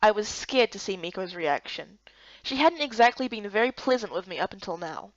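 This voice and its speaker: A woman's voice: medium pitch, lively intonation, a little fast, no room sound, lo-fi sound. A woman reading scripted first-person narration from a visual novel. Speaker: narrator and lead character reader.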